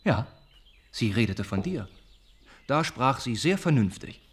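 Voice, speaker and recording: An earnest young gentleman of a radio play, wounded and reflective. His voice is mid-pitched and husky, and he speaks haltingly, as if confessing something that pains him. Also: sing-song. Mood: disillusioned. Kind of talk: radio drama acting.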